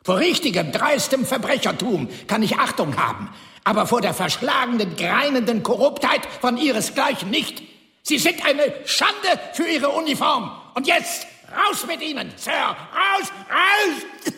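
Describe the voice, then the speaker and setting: Snooty sarcastic eccentric man, radio play, high voice, swoops all over, echoey room. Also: big pitch swings, unhurried, sharp tone, heavily acted. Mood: indignant. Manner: sarcastic, haughty, eloquent. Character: sharp-tongued, scornful, eccentric older brother, a proud man. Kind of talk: audio drama acting. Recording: roomy, echoing sound.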